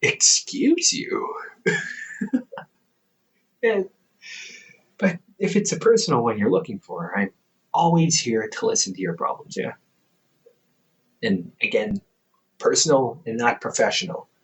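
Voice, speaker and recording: Audio roleplay: male actor as a young adult older brother and company therapist, teasing, quick to laugh, very lively pitch. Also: poor audio.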